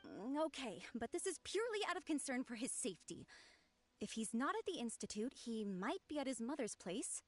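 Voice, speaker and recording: Huffy, high-voiced young lovelorn woman. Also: sing-song, a little fast. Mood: worried, evasive. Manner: huffy, dramatic, defensive. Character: young female researcher, lovelorn, defensive, indignant. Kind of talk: anime-style video game voice acting.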